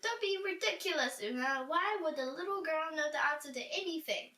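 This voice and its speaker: A woman's voice: high-pitched, expressive, brisk, pushed and bright, voice pushed up. Woman playing a blustering, weary storybook king, echoey. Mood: exasperated. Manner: blustering, exasperated. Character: grumpy, weary, dismissive storybook king, a father. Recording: roomy echo, distant from mic.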